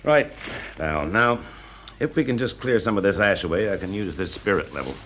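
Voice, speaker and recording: An actor playing a shrewd, methodical British fire investigator with clipped, probing questions in a classic radio drama. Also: male voice, low voice, animated, normal speed, a bit bright, slight background noise, rough recording. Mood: purposeful, reasoning.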